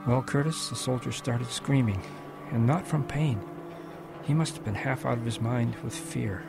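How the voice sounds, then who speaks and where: A reflective old grandfather voiced by a man with a creaky, gravelly voice. He narrates a radio drama of his wartime boyhood in measured, solemn phrases over music. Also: medium pitch, close to an everyday voice. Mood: regretful. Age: older.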